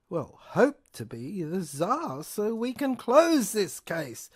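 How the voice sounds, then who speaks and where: A woman playing a rambling, sardonic lab technician who lectures haltingly in an audio drama, repeating and correcting herself and asking rhetorical questions. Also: medium pitch, a little mellow. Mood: sardonic, emphatic. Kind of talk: improvised-sounding lecture monologue in an audio drama.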